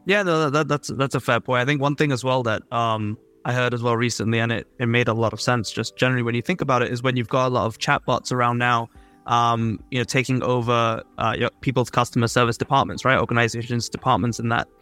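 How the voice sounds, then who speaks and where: A man's voice: mid-range voice, fairly even intonation, quick pace, bright, forceful tone, soft background music. Man moderating a marketing panel. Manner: conversational, hands questions to panelists. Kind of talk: panel discussion.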